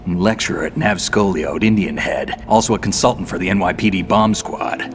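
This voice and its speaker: An actor playing a gruff veteran male soldier who speaks tersely. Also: low voice, fairly quick, a little hiss or hum.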